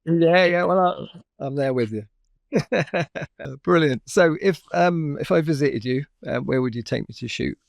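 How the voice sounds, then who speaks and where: A man's voice: a little high, measured pace, gravelly, no echo, clean studio sound. A man hosting an interview, asking casual questions in a friendly way. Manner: friendly, says brilliant and bonkers. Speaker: podcast host.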